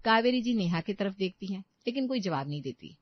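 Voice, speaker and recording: A woman's voice: medium pitch, lively intonation, a little fast, dull audio. A woman narrating a scripted domestic story as a storyteller.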